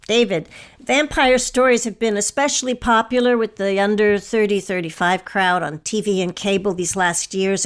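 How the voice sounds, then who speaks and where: A woman hosting an arts show, putting polished questions to guests in an articulate, deliberate way. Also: medium pitch. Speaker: host, interviewer.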